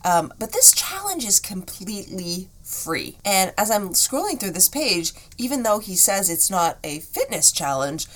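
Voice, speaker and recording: A woman hosting a solo podcast, chatting in an encouraging way, her voice a bit rough today. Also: mid-range voice, sing-song, a little slow, hardly any pauses, a bit bright. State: slightly rough voice. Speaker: podcast host.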